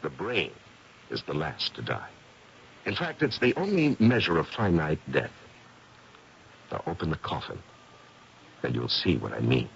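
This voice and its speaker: Deep, gravelly ghost investigator. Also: male voice, very animated, a bit bright, exaggerated voice. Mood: grave, ominous. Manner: deliberate, dramatic explaining. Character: confident male paranormal investigator, gray-haired, probing and theatrical. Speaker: actor. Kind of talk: old-time radio mystery acting. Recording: muffled, noisy radio drama recording.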